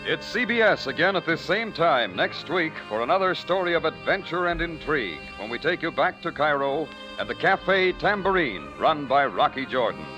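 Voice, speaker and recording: An American man announces in old-time network radio style. His voice is fairly high and swings widely in pitch, over prominent music. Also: sing-song, normal speed, hardly any pauses, lo-fi sound.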